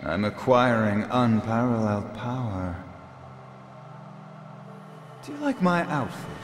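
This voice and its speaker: An actor playing an arrogant, theatrical would-be god in a video game, with a taunting, grandiose delivery. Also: male voice, medium pitch, big pitch swings, slow, a little hiss or hum. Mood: smug, grandiose. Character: arrogant, theatrical male villain craving godhood and world rule. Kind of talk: video game villain voice acting. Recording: echoey long reverb, music behind.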